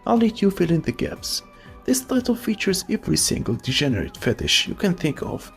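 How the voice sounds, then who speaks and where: Brief: opinionated voice-over narrator, animated delivery, some fry, soft music underneath. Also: medium pitch, fairly quick. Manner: opinionated, wry asides. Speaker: video essay narrator. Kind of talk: scripted voice-over commentary.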